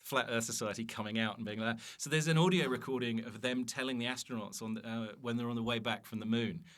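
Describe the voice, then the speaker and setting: A man chatting casually as a comedian guest on a podcast, telling self-mocking stories in an animated way. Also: mid-range voice, fast talker. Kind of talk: casual comic storytelling chat.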